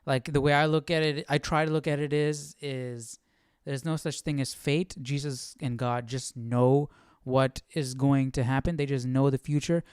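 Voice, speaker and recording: Smooth, casual man thinking aloud. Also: medium pitch, fairly even intonation, normal speed, darkish tone, no echo, studio quality. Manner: conversational, questioning, thinking aloud. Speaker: livestream Bible study host. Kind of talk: casual, musing chat.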